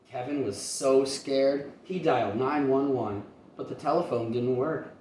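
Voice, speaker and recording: A man reads a children's storybook aloud as a kindergarten teacher would, with expression. His voice sits at an ordinary pitch, a little away from the microphone in an echoey room with faint noise. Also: a little slow, darkish tone. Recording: roomy, distant mic, faint noise.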